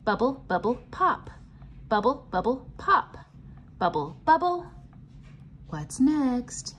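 A woman reading a picture book aloud to children and explaining it simply, in a sing-song, teacherly way with clear enunciation. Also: high-pitched, big pitch swings, takes it slowly, a bit bright, a little hiss or hum. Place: indoors, at a table.

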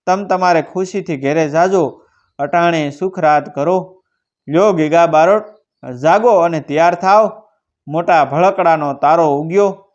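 Man voicing generous village chief, storytelling character, hearty, respectful, Kathiawadi Gujarati accent, slightly high pitch, dull sound. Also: a little slow, darkish tone, lightly acted. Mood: coaxing, caring. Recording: dull lo-fi recording.

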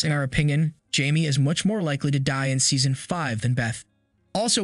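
A man reading a scripted voice-over commentary in an even, measured way. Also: a little high, no room sound, studio quality. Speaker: channel narrator.

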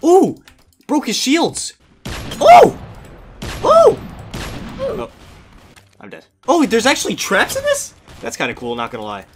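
A young man giving energetic, exasperated gameplay commentary as a gaming YouTuber, with loud outbursts. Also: extremely high pitch, measured pace, long pauses, slight background noise.

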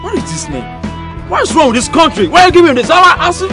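A man's voice: high voice, brisk, music in the background. A man with a Nigerian accent playing a boastful drinker who puts on a showy, slangy swagger in a comedy film. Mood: indignant. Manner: showy, animated slangy posturing. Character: boastful drinker putting on a returnee-from-abroad swagger, then pleading.

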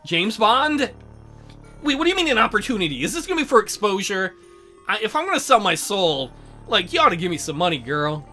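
A woman hosts a let's play with casual, joking commentary. Her bright voice moves up and down a lot as she throws in sarcastic asides. Music plays in the background. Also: mid-range voice, sing-song, a little fast.

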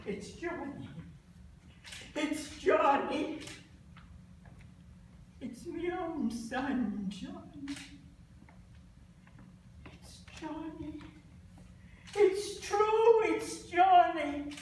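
A woman performing a grief-stricken mother in an anguished, halting theatrical stage monologue. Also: sits high, expressive, darkish tone, heavily acted. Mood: stunned grief. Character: grief-stricken Irish mother mourning her son. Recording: echoey theatre, distant mic, faint noise.

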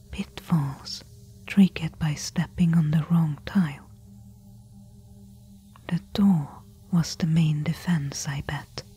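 A woman playing a bold, companionable desert treasure hunter in a soft-spoken ASMR roleplay, hushed and coaxing in a breathy whisper. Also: sits low, full character voice. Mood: persuasive, determined.

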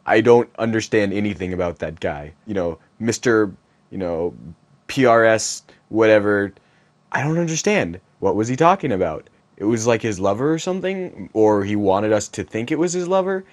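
A man acting as a young guitarist in a mockumentary interview, with a lowish voice. He is blunt and dismissive, sounding puzzled by his old bandmates, in a small indoor room. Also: expressive, normal speed, close to an everyday voice. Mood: dismissive, baffled. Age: young adult.

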